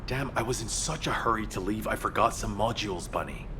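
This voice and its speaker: A man's voice: fairly low pitch, a little fast, noisy background, natural voice. A man voicing an earnest, by-the-book operations leader in a video game, businesslike and easily exasperated. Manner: businesslike, crisp briefing delivery.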